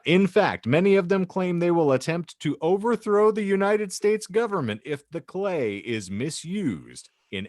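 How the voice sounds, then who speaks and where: A man's voice: lowish voice, no room sound, clean studio sound. A man co-hosting a podcast in a wry, playful way, moving between casual chat and reading aloud with theatrical phrasing. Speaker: podcast co-host.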